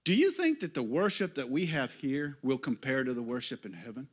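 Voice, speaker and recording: A man preaching in a church, telling stories and swinging from quiet asides to loud, excited exclamations. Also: medium pitch, a little fast, husky. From United States. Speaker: guest pastor. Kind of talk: sermon.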